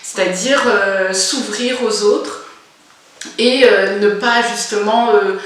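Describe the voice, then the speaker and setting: A woman's voice: medium pitch, fairly even intonation, slow, heavy reverb, recorded from a distance, low-quality recording. A woman in her forties talking frankly to camera in her first vlog, hesitant with lots of filler sounds but blunt. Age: 40s. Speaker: vlogger. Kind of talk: casual personal monologue.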